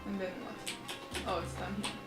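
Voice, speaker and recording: A woman's voice: fairly low pitch, little pitch movement, brisk, creaky. A woman casually commentating a livestreamed game, talking to herself as she plays. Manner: talks to herself, loud exclamations. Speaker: game streamer. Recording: echoey room with game music. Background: game music.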